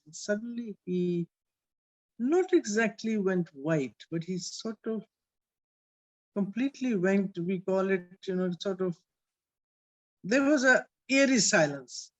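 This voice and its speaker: A woman in her seventies, an author reflecting on her book in an interview, telling her story with pauses and restarts. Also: mid-range voice, lively intonation, low-quality recording. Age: 70s.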